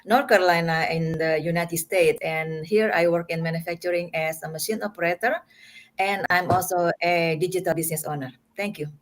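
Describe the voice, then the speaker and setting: Guest, female, from Indonesia; lowish voice, polite and brief. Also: fairly even intonation, a little fast. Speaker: panel guest, machine operator. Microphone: video call.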